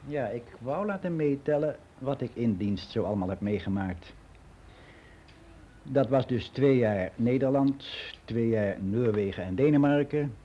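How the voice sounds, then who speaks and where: Male actor as older man giving frank, matter-of-fact radio play monologue; soft dark tone, slightly noisy recording. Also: medium pitch, animated, measured pace, natural voice. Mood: matter-of-fact, reminiscing. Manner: matter-of-fact, rambling, candid.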